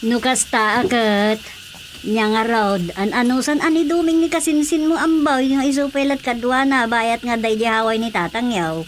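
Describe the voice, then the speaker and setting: A woman's voice: fairly high pitch, steady tone, moderate pace, noisy background, lightly acted. A woman playing a gossipy older village confidante in a Filipino radio drama comedy, teasing and exclaiming. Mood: curious.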